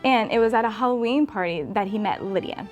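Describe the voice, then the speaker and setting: A woman tells a scripted comic tale in storybook fashion, her voice sitting at a middling pitch. Faint music plays behind her narration. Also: a little fast. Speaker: guest storyteller.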